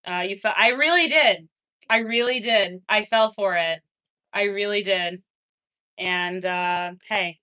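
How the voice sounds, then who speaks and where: Bright-voiced young woman chatting on stream. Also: medium pitch, slow pace. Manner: chatty, laughs often, swings between excited and quiet. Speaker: livestreamer reading chat. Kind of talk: casual livestream chat.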